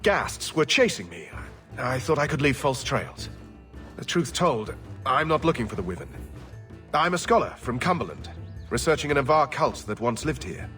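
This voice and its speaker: Fantasy game scholar: weary, earnest, courteous, bright-edged voice with lively pitch swings. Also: male voice, moderate pace, recorded from a distance, faint music behind. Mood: weary, resigned. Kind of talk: scripted fantasy game dialogue.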